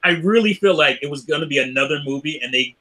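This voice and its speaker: A man chatting casually as a podcast host, riffing in an animated, profane way and often chuckling. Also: a little high, quick pace. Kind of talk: casual film banter.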